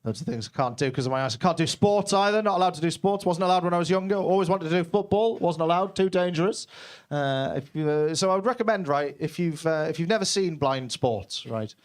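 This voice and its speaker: A man's voice: on the high side, a little fast. A young man from Newcastle, doing a brash, self-deprecating stand-up set in a basement comedy club, swearing freely. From Newcastle, England.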